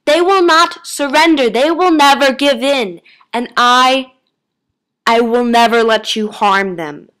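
A woman's voice: a little high, measured pace, fairly bright tone. A woman voicing a slender, defiant, easily annoyed alien man in a story, with an emphatic, insistent delivery.

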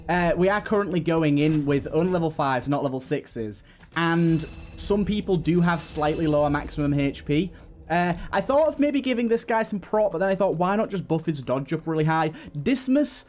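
A man's voice: fairly high pitch, a little mellow. A man hosting a gaming channel, giving casual let's play commentary.

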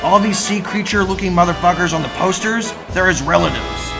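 A man's voice: high voice, brisk, creaky. A man voicing cartoon characters, a cynical old scientist and his anxious teenage grandson, in an audio drama. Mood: cynical, dismissive. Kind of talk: fan-made cartoon audio drama acting. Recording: music and crowd behind the voice.

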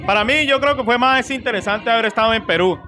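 A man's voice: very high voice, fast talker. A middle-aged man with a Colombian accent, a salsa singer answering casually in an interview on a handheld mic. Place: indoor room at a nightclub event. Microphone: handheld interview microphone. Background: faint music.

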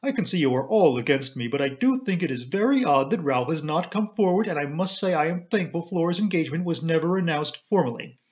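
A man's voice: fairly high pitch, expressive, fairly quick, close to an everyday voice. A man voicing a fretful, self-pitying middle-aged society mother in an audiobook, genteel, plaintive and rambling. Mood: obstinate.

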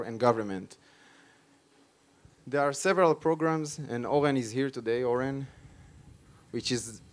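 A man's voice: medium pitch, darkish tone. A man in his thirties with an Israeli accent, giving a live conference presentation in emphatic, short, repeated phrases into a handheld microphone. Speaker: conference speaker on startups and innovation. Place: stage at a tech conference.

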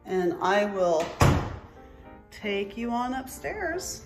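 A woman's voice: medium pitch, big pitch swings, drawn-out words, music in the background. A middle-aged woman, a real estate agent, narrating a house walk-through on a handheld phone inside an empty home. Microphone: handheld phone behind the camera.

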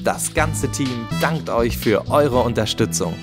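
A male announcer reads a scripted promo with very animated intonation. His pitch is average and swings widely. Music is clearly heard under him. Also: unhurried.

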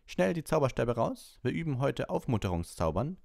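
Man doing a high-pitched, brisk magic teacher. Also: sing-song, creaky, darkish tone, no echo, studio quality. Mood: brisk, chiding.

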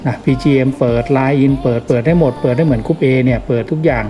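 A man talks through a product demonstration in a casual, informal way. His voice sits at a medium pitch, and he goes step by step, repeating the key instructions. Music plays clearly behind him. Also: fast talker, highs cut off. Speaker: product demonstrator giving a tutorial. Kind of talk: casual hands-on tutorial narration. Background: clearly audible background music.

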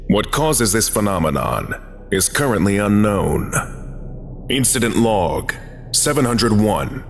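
A man narrating a scripted archive briefing in a formal, measured, clinical manner. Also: lowish voice. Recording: long reverb, music behind. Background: music.

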